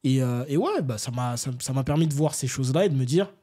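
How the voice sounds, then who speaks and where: A man's voice: mid-range voice, expressive, fast talker, warm tone. Casual, slangy man telling stories. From France. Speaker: interview guest. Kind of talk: casual conversational storytelling.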